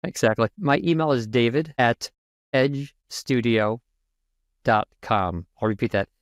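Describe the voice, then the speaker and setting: A man speaking as an interview guest and communication coach, animated and joking, jumping up in pitch for emphasis. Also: unhurried, dry recording, studio quality. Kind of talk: conversational interview.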